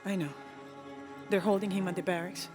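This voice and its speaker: A woman's voice: medium pitch, flat delivery, brisk, lightly acted. Actress as a dignified rebel queen in a game, composed and grave, over heavy music. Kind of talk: video game cutscene dialogue. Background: loud game music.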